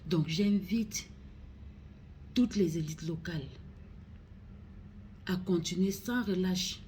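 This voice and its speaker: A woman with a Cameroonian accent giving a solemn public address as a regional councillor, grave and pleading, in deliberate phrases. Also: lowish voice, a little mellow, a little hiss or hum.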